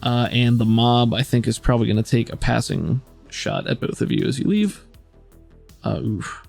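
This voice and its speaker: Game master, slightly creaky voice, casual improvised narration, tabletop game, faint music behind. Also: medium pitch, steady tone, moderate pace, a little mellow. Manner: conversational, improvising.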